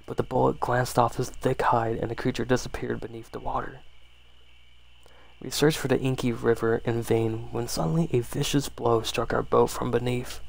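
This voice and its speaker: A man softly reading a horror story in ASMR style, unhurried, with the odd stumble over words. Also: mid-range voice, creaky, poor audio.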